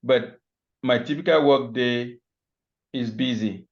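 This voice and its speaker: A man's voice: medium pitch, slow. A man from Cameroon, a cloud security expert, explaining his workday in a loose, step-by-step way with frequent restarts on a video call. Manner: loose, restarting, walks through examples step by step. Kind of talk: online panel talk, explaining his job. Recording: muffled call audio.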